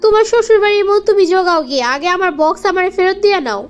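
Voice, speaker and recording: A woman's voice: very high voice, expressive, rapid-fire. A woman with a Bangladeshi accent voicing a reproachful, sulky young girlfriend in a cartoon comedy. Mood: petty, insistent. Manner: reproachful, nagging.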